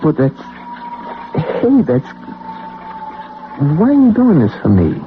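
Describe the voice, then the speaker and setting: An actor playing an anxious, obsessed working-class everyman in a radio drama, with colloquial, halting, stammering speech. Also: on the high side, huge pitch swings, heavily acted. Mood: nervous pleading. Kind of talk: old-time radio drama acting. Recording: tinny, noisy old radio broadcast recording.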